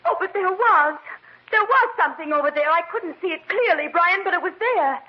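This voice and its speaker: An actress playing an anxious, pleading young bride-to-be in an old-time radio drama. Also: female voice, very high voice, animated, a little fast. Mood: frightened. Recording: muffled, faintly hissy vintage radio recording.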